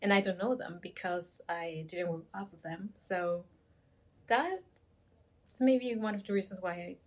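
A woman's voice: fairly low pitch, a little slow. A young woman from Peru chatting casually about her feelings, thinking aloud with frequent fillers. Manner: thoughtful, many fillers and hedges. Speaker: discussion participant. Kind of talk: casual conversation.

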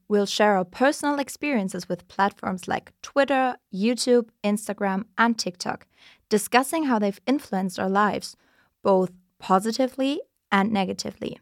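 A woman's voice: mid-range voice, no room sound, studio quality. A nineteen-year-old woman hosting a podcast, reading a scripted intro in a polished, upbeat way and moderating. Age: teens. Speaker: podcast host.

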